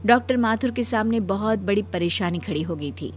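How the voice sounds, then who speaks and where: A woman narrates a scripted story in a medium-pitched voice. Soft music plays faintly underneath her storytelling. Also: animated, normal speed.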